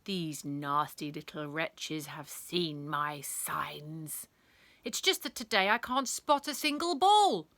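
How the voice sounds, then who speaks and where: A woman voicing a pompous, flustered male schoolteacher in a storybook, booming and repeating words frantically. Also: animated, takes it slowly, fairly bright tone, no room sound, exaggerated voice. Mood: blustering outrage.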